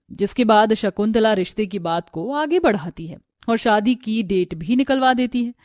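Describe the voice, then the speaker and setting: A woman storyteller narrates a scripted family drama. Her voice sits fairly high, and the recording is close and dry. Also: darkish tone, dry recording.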